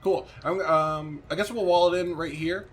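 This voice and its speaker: A man's voice: fairly high pitch, fairly even intonation, moderate pace, a little hiss or hum. A man giving casual let's-play commentary as a gaming video host, thinking aloud in informal, slangy language.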